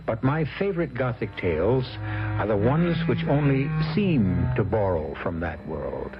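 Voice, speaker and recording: A man narrating an old radio mystery series as host, theatrical and ominous, with measured delivery and a gravelly voice. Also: lowish voice, very animated. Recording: muffled old radio recording. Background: loud dramatic music.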